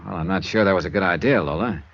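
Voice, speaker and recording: A man's voice: deep voice, fast talker, a bit bright. An actor playing a wry, world-weary male insurance investigator who narrates his own case in a 1950s radio drama. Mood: subdued. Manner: wry, laconic first-person narration. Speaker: narrator and lead character. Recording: dull old radio transcription.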